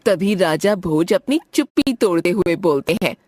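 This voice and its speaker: A woman's voice: fairly low pitch, lively intonation, normal speed, heavy background noise. A woman narrator reading a scripted moral story for a cartoon. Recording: music behind the voice.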